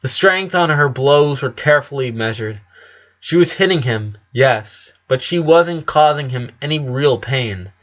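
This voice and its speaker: A man's voice: medium pitch, lively intonation, unhurried, a bit bright, dull audio. A man narrating a story in an earnest, dramatic audiobook reading. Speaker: narrator of a fan fiction story.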